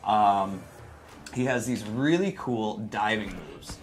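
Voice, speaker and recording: A man in his thirties giving a casual, jokey gameplay demonstration on a livestream, with self-deprecating, animated exclamations. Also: on the low side, takes it slowly, background noise. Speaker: developer demonstrating game. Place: studio livestream set, indoors. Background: game music.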